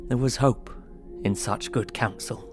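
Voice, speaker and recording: A man's voice: mid-range voice, steady tone, a little slow, a little mellow, close to an everyday voice. Earnest diarist over music played by a man. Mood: anxious, sombre. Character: earnest, anxious young English solicitor recounting horrors. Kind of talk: dramatic diary narration.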